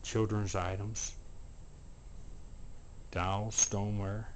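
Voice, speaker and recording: A man with a deep voice gives a promotional tour of a shop, speaking from behind a handheld camera. He names items one after another in short, unhurried phrases and often repeats himself. Light room noise sits behind his voice. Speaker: antique mall booth presenter. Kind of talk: promotional walkthrough narration. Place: indoor antique mall showroom. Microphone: handheld camera, operator speaking from behind it. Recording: steady background room noise.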